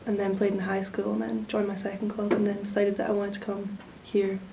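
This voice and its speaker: A woman's voice: medium pitch, completely flat delivery, fairly quick, creaky. Young Scottish woman, easygoing guest. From Scotland. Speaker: soccer player guest. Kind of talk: casual interview chat. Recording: distant, faint room noise.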